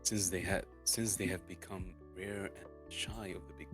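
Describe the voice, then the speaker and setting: A man reading a storybook aloud in a halting way, stumbling and repeating words as he goes. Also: low voice, fairly even intonation. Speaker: streamer reading a novel aloud. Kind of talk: dramatic storybook reading, unrehearsed. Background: soft lofi guitar music.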